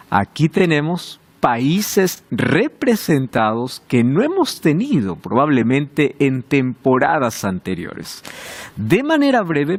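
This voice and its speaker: A man hosting a televised Bible study, welcoming and speaking directly to the viewers. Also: medium pitch. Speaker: host of a Bible study show.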